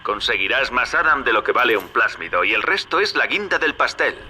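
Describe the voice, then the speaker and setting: A man's voice: mid-range voice, sing-song, a little fast, bright, forceful tone, a little hiss or hum. A man with a Castilian Spanish accent playing a wily, folksy hustler in a video game, talking in a chummy, colloquial, paternal way. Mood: persuasive, chummy. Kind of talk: video game character acting.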